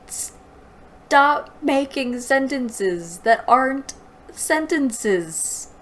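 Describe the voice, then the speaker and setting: A woman's voice: high voice, expressive, extremely slow, a bit bright, a little hiss or hum. A woman hosting a book review, reading aloud and commenting with exasperated sarcasm. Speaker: host of a book review show.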